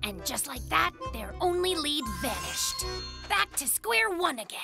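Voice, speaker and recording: A very high-pitched, bossy little boy bear playing detective in a cartoon. The delivery is wildly animated and melodramatic, full of self-importance, with music underneath. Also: a little slow, gravelly, exaggerated voice. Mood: dramatic narrating. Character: excitable young male polar bear cub playing detective. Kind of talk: cartoon acting with mock detective narration.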